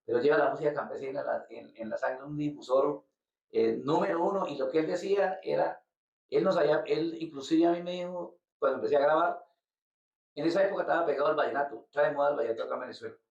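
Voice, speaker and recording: A man from Colombia, a musician being interviewed, talking casually and haltingly, often repeating words as he searches for them. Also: fairly high pitch, vocal fry, a little mellow. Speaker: guest musician. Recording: roomy, distant, dull lo-fi sound.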